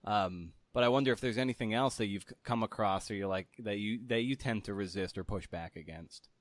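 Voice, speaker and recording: A man's voice: fairly low pitch, brisk, no room sound. A man hosting a podcast in an informal, conversational way, often rephrasing as he goes. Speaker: podcast host. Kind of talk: conversational interview and podcast narration.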